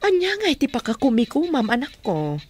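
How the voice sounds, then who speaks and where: A woman acting a kindly middle-aged mother in a radio drama, speaking in a gentle, homely way. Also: sits high, big pitch swings, brisk, husky, exaggerated voice. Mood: affectionate. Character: kindly, devoted mother visiting her married daughter.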